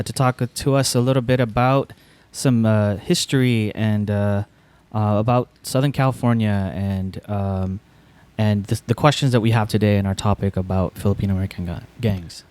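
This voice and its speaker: A man's voice: lowish voice, fairly even intonation, moderate pace, few pauses, darkish tone, clear, resonant tone. Chatty man hosting a podcast. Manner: chatty, laughs often, repeats words. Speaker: podcast host. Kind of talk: casual podcast chat.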